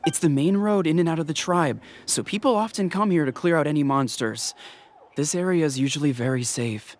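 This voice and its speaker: A man's voice: a little high, a little fast, lightly acted. A man playing a flustered, worried villager in a video game, with a fretful, hesitant delivery.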